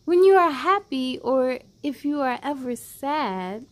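A woman in her thirties reading aloud to children as a storytime host, with an encouraging, animated manner and simple phrasing. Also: high voice, slow pace. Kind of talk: children's read-aloud, addressing young listeners.

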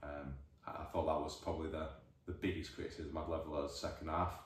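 A man in his forties with a Northern English accent, a football manager giving a measured, thoughtful post-match interview, recorded by a distant camera in an empty changing room. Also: very deep voice, little pitch movement. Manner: measured, thoughtful, many restarts. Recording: echoey room, voice from far off.

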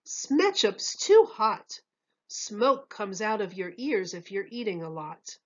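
A woman's voice: medium pitch, big pitch swings, takes it slowly, lo-fi sound. A woman voicing an imaginative waitress in a storybook, explaining odd dishes in lilting rhymes. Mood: earnest, explaining.

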